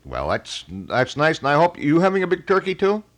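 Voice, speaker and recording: A man's voice: on the high side, huge pitch swings, fairly quick. A middle-aged man hosting a local radio call-in show, chatting casually in a folksy, informal way on a 1980 broadcast.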